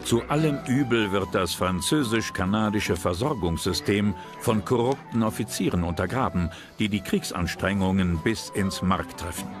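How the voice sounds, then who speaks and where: A man's voice: on the low side, lively intonation, moderate pace, few pauses, music in the background. A man delivering scripted narration as the narrator of a history documentary.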